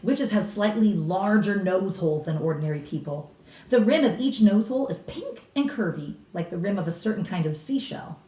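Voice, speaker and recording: A woman's voice: mid-range voice, expressive, normal speed, warm tone, natural voice. A woman voicing a blunt, authoritative elderly grandmother from a storybook, explaining with emphatic relish and a snappish edge. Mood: instructive, relishing. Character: cigar-smoking old grandmother, authoritative, blunt, relishing grisly witch lore. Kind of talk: storybook read-aloud character. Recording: roomy echo, distant microphone.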